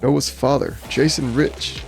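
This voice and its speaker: A man's voice: medium pitch, fairly even intonation, slow pace, vocal fry. A man giving casual let's play commentary with wry, offhand reactions. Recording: music behind the voice.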